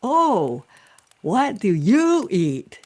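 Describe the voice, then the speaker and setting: A woman's voice: mid-range voice, sing-song, pitched above the performer's own voice, full character voice. A woman voicing a proud, naive male frog in a joke, with stretched wide-mouthed words and exaggerated greetings. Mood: eager, boastful. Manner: loud, exaggerated, drawn-out greetings. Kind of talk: spoken joke storytelling.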